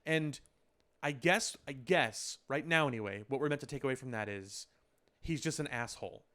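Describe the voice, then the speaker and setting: A man with a mid-pitched voice talks as a podcast host in casual discussion. He works through his points analytically, stopping and restarting, and swears offhandedly. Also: moderate pace, fairly bright tone. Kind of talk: casual pop culture discussion.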